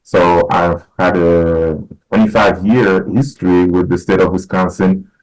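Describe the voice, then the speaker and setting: Man, middle-aged, from Haiti, very low voice, unhurried, halting speech with fillers. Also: fairly even intonation, low-quality recording. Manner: measured, many fillers and restarts. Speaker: podcast guest, educator. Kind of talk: casual interview talk.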